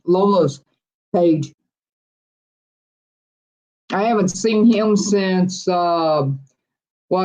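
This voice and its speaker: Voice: medium pitch, a little mellow. Chatty host on dull, lo-fi audio. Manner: rambling, friendly, chuckles often, many restarts. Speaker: RV-living livestream host. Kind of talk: casual live chat, reading viewer comments aloud.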